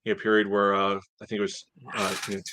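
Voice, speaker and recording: A man's voice: fairly low pitch, completely flat delivery, quick pace, bright, forceful tone, slight background noise. A man co-hosting a soccer podcast, casually analyzing play in a hesitant, conversational way with frequent filler phrases. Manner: hedging, conversational, peppered with "you know".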